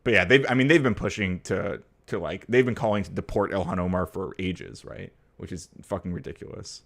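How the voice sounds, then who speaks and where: A young man from the United States with a very low, deep voice hosts a casual livestream. He rambles through his points with plenty of fillers and restarts, and turns blunt and profane when a topic riles him. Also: brisk, creaky. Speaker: livestream host. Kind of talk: casual political chat, reading viewer comments.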